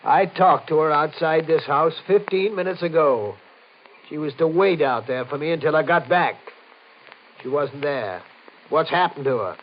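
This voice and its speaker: A man playing a cold, calculating murderer in old-time radio horror, with a menacing, deliberate, theatrical delivery. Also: fairly high pitch, lightly acted. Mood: suspicious. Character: cold, calculating husband turned murderous villain. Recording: muffled, noisy vintage radio recording.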